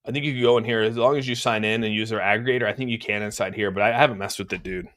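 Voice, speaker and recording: A man's voice: on the low side, steady tone, racing, a bit bright. A man hosting a crypto livestream, talking over charts and answering chat in a rambling, laid-back way, slightly congested. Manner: laid-back, rambling, says "dude" often. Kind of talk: casual chart talk answering live chat. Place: room at home.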